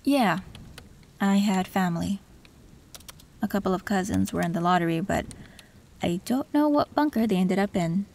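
A woman voicing a gentle young tech fixer in an audio roleplay, soft and reflective. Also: mid-range voice, a little hiss or hum, natural voice. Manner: soft, soothing, reflective with small laughs. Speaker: voice actor.

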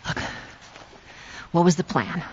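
A woman's voice: slow pace, a little mellow, lo-fi sound, close to an everyday voice. A woman playing a tough, determined smuggler in a video game, firm and commanding, with an American accent. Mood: pressing. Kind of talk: video game cutscene acting. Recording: heavy rain noise behind.

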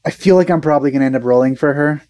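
A man's voice: on the high side, racing, warm tone, no room sound. A young man giving casual reaction commentary in a laid-back, musing way. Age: young adult. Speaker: reaction video host.

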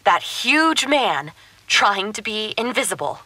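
A woman's voice: medium pitch, big pitch swings, slow, sharp tone, no echo, full character voice. Woman witness telling her story in fragments. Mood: uneasy recounting. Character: shaken woman witness recounting what she saw. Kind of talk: audio drama monologue.

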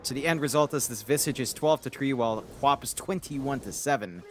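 A man's voice: medium pitch, brisk, slight background noise. A man giving analytical commentary on a video game match, explaining builds and lanes with playful asides. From Philippines. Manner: explanatory, playful, self-deprecating jokes. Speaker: esports color commentator.

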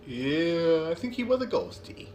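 Man in his twenties, casual reaction commentary, lively intonation, music in background. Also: on the high side, a little slow. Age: 20s. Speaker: reaction video host. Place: living room couch indoors.

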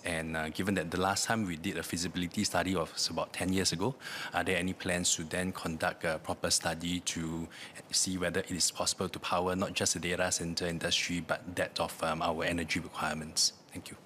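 A man's voice: low-pitched, pushed and bright, slight background noise. A Singaporean man in his thirties asking a polite question in parliament. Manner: polite, gestures while asking. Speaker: member of parliament. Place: parliament chamber. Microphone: desk microphone on a stand.

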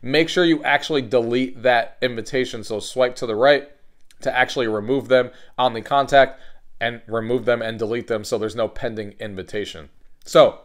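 A man's voice: medium pitch, steady tone, moderate pace. A man hosting a casual step-by-step tech tutorial, talking in an instructional way and stressing key points. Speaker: host of a tech tutorial channel.

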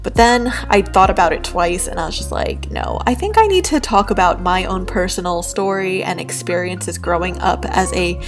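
Soft-spoken young woman, reflective. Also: on the low side, animated, moderate pace, hardly any pauses, soft background music. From New York, USA. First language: Cantonese. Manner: soft, thoughtful, candid. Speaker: art YouTuber. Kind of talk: reflective personal voice-over while drawing.

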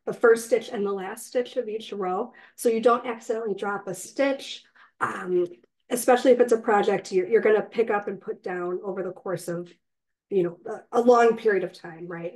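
A woman with a slightly raspy, cold-hoarse voice, patiently teaching a crochet class step by step and counting stitches aloud. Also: mid-range voice, normal speed, a little mellow, poor audio. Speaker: crochet instructor. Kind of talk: step-by-step craft tutorial. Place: indoors, online class.

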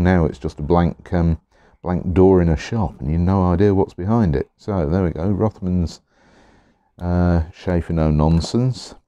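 A middle-aged man, slightly hoarse, chatting casually as he unboxes pens at his desk, rambling with many pauses and restarts. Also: extremely low pitch, warm tone, no room sound, highs cut off. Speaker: pen collector vlogger. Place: indoors at a desk, overhead camera on hands.

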